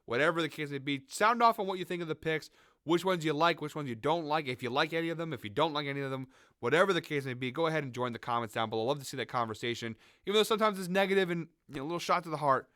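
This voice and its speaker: A man hosting a sports betting show, chatting casually through his picks while sick, with a raspy, nasal voice. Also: on the high side, rapid-fire, fairly bright tone, no room sound, clean studio sound. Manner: conversational, hedging, frequent fillers. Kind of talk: casual picks commentary to camera.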